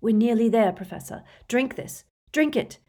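A woman narrator plays a teenage boy wizard in a storybook, his voice lifted high and pushed with urgency. He sounds frightened and desperate, pleading in gasps. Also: animated, normal speed, darkish tone. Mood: urgent coaxing. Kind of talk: audiobook character voice.

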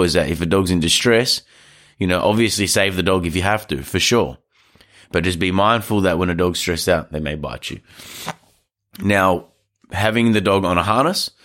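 A man with a very low, nasal voice, a little stuffed up from hay fever. He talks casually and at length, rambling through a story and correcting himself as he goes. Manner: rambling, animated storytelling with restarts. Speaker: dog trainer podcast host. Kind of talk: casual advice talk. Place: studio.

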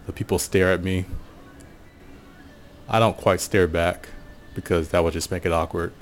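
An American man giving a casual, reflective solo monologue in an unhurried, musing way, often pausing mid-sentence. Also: sits low, fairly even intonation, soft background music. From Portland, USA. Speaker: podcast host.

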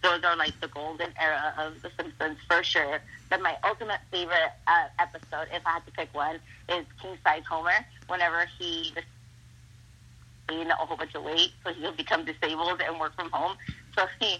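Bright-voiced, husky young woman wrestler. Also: low-pitched, steady tone, a little fast, rough recording. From Mexico. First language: Spanish. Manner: upbeat, gushing, heavy use of "like" and "awesome". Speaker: professional wrestler. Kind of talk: casual interview.

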